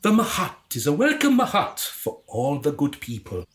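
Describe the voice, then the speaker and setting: A performer playing a haughty, excitable, condescending alien in human guise in a comic radio drama. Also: medium pitch, normal speed. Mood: pompous, exasperated. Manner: pompous, exasperated, condescending.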